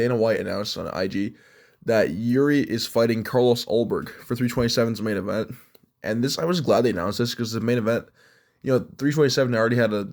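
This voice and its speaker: A man's voice: fairly low pitch, creaky, a little mellow. A young man hosting an MMA reaction show, congested from allergies, talking in a rambling, opinionated way. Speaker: MMA YouTube host. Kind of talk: casual fight reaction and predictions.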